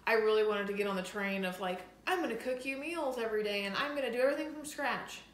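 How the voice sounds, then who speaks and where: A woman's voice: fairly high pitch, fast. A woman vlogging casually from her home kitchen, chatty and enthusiastic, with plenty of "like" fillers. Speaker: lifestyle vlogger. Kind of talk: casual chatty vlog talk to camera. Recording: echoey room with faint noise.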